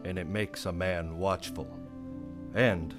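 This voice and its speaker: Male actor as lonely Old West marshal for radio play: very low, slow, grave, music underneath. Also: fairly even intonation. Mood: grave, solitary. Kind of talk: western radio drama narration.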